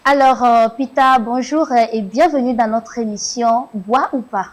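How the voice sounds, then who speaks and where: A woman's voice: fairly high pitch, measured pace, music in the background. A woman hosting a talk show, asking questions with frequent hesitant fillers. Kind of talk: interview questions.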